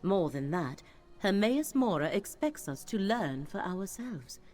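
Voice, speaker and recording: A woman acting a solemn, mystical companion in a fantasy video game, speaking in a formal, measured, cryptic way. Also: medium pitch, very animated, warm tone. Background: game music.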